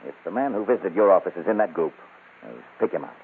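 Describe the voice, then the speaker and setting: An actor playing a brisk, methodical police captain with a businesslike, authoritative manner in a 1940s radio crime drama. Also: male voice, deep voice, lively intonation, breathy edge, full character voice. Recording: scratchy, tinny old-time radio recording.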